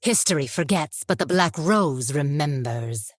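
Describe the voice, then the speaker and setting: A sly game sorceress, with an extremely low voice for a female. She speaks very slowly, cryptic and composed, in theatrical style. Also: expressive, dry recording, studio quality. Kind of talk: card game character voice lines.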